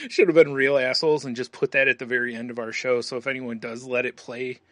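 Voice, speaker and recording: A man's voice: mid-range voice, steady tone, moderate pace, fairly bright tone, no room sound. An American man hosting a podcast in a casual, loose, rambling way. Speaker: podcast host. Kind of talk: casual chat, show plugs.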